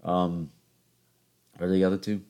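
A man's voice: sits low, flat delivery, moderate pace, warm tone. A young man in his twenties from the American South with a New York-tinged accent, hosting a solo podcast and telling rambling, joking stories about his week. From Charleston, South Carolina. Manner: rambling, joking, self-mocking. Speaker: podcast host. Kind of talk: casual solo podcast storytelling.

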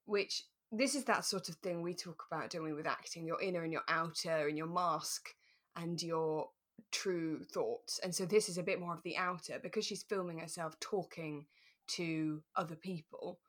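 Female podcast host: slightly low voice, chatty and giggly, informal review style. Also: steady tone, normal speed, fairly bright tone. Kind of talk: casual chat reviewing a radio drama.

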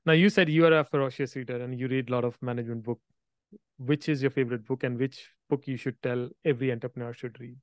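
A man hosting a podcast interview, friendly and a bit halting as he asks questions. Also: medium pitch, fairly even intonation, no room sound, clean studio sound. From India. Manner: friendly, halting phrasing with restarts. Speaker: podcast host.

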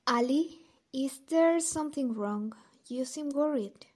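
Woman playing sweet caring young gal, high pitched, bit teasing, audio drama. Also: unhurried, a little mellow. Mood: concerned.

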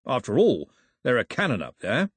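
A man reading aloud and voicing a stately, fatherly official who speaks in a measured, placating, slightly pompous way. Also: medium pitch, wildly swooping pitch, breathy edge, no room sound, clean studio sound.

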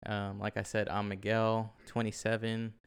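A man's voice: on the low side, completely flat delivery, moderate pace, clean tone. A man in his twenties from Oklahoma, co-hosting a casual podcast with lots of ums and easy laughs. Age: 20s. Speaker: podcast co-host. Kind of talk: casual chat. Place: shoe room at home.

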